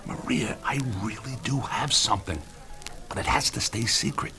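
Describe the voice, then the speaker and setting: An actor playing a cocky, evasive author of UFO books, sarcastic and defensive, in a radio drama. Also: male voice, mid-range voice, normal speed, sharp tone, faint music behind. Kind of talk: modern radio drama dialogue.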